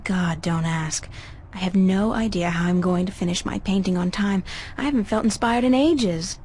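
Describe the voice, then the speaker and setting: A woman's voice: on the low side, normal speed, a little mellow, a little hiss or hum, lightly acted. A young woman voicing a weary, wry, easygoing art student in a video game, with a casual, understated delivery. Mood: weary, troubled. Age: young adult. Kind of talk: conversational video game voice acting.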